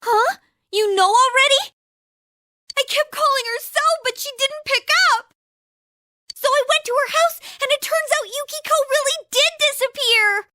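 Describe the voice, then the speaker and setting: A woman's voice: extremely high pitch, moderate pace, bright, forceful tone, no echo, studio quality. A woman voicing a fiery, stubborn, loyal teenage girl in a video game dub, emphatic and bossy. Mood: exasperated, worried.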